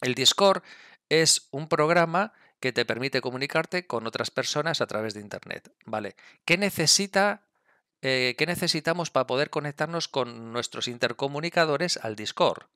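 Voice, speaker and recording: A man's voice: medium pitch, lively intonation, moderate pace, pushed and bright, dry recording, studio quality. A middle-aged man with a Spanish accent from Spain explains things casually to camera on a headset mic, often checking in with listeners. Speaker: video creator, gamer and motorcyclist. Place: indoors at a desk with a computer. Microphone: gaming headset mic, close.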